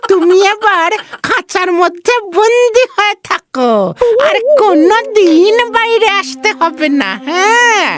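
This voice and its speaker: A performer voicing a clever, teasing cartoon fox with a squeaky childlike voice and repetitive, drawn-out talk. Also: heavy background noise. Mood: smug, firm. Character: clever fox playing dumb, teasing and self-assured. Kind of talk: animated moral fable dialogue. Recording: music under the voice.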